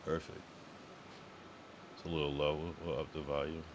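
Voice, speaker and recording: Deep-voiced laid-back streamer man. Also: little pitch movement, moderate pace, noisy background. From Connecticut and Massachusetts, US East Coast. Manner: laid-back, profane, repeats phrases. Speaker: live streamer. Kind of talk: loose late-night stream chat.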